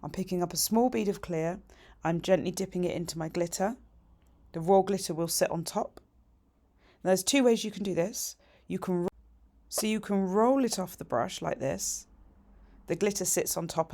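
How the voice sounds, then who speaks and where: A middle-aged woman with a croaky voice, chatting her way through a nail tutorial voiceover with practical, cheerful asides. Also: on the low side, normal speed, dark tone. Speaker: nail technician teaching a tutorial.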